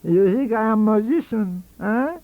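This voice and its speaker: Wheezy sly old foreign magician scientist, really high swoopy voice, eager n mystical, theatrical storybook reading. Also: male voice, expressive, no echo. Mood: wheedling, boastful. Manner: theatrical, halting, emphatic. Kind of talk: audiobook character reading. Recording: dull lo-fi audio cassette.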